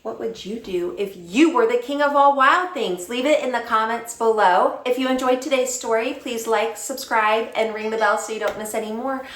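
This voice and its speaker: A woman reading a children's picture book aloud as a storytime teacher, with expressive, dramatic delivery and drawn-out words. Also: a little high. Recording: roomy echo, distant mic, faint noise.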